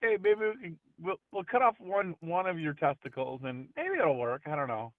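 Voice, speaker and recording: A man's voice: a little high, normal speed, no echo. Man, phone-in caller, hesitant casual talk, dry tinny line. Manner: hesitant, full of restarts, animated when surprised. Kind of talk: casual chat.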